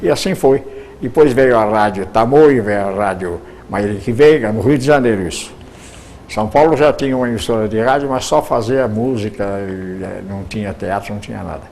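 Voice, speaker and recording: A man's voice: lowish voice, brisk, a little mellow. An older Brazilian man, a radio broadcaster, answering interview questions at length, often repeating words as he searches for them.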